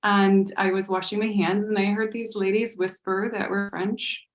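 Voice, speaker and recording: An American woman telling lively stories on a panel, often breaking into laughter. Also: mid-range voice, moderate pace. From United States. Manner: animated, laughs often, storytelling. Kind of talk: casual panel discussion. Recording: echoey room.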